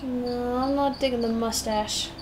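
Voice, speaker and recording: A woman's voice: fairly high pitch, unhurried. A young South African woman with a mixed British and American-tinged accent, chatting and humming to herself as she draws on a live stream. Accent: mixed British and American-tinged English. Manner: chatty, hums to herself, meandering. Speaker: live-streaming digital artist. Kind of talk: thinking aloud while drawing on a live stream. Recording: steady noise with crickets behind.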